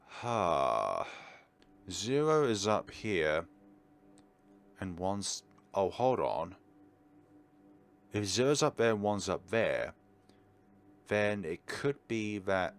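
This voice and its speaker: A man's voice: fairly low pitch, expressive, takes it slowly, pauses often. A man hosting a let's play, reading game text aloud dramatically and adding casual asides. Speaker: gaming video host.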